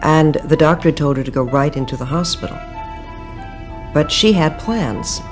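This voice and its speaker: A man calmly reminiscing about a parent in an interview, reflective and gentle. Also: fairly high pitch, normal speed. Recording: music behind.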